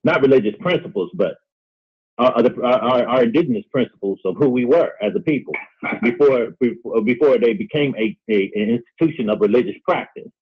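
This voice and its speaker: Man, husky voice, middle pitch, earnest discussion talk, halting with repetitions, dull lo-fi call audio. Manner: halting, with repeated words. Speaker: guest on a call-in discussion show.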